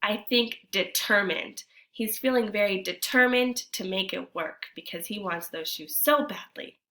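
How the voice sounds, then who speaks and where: A woman teacher reading a storybook aloud to children, expressively, pausing to ask her young listeners guiding questions. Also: mid-range voice, pushed and bright.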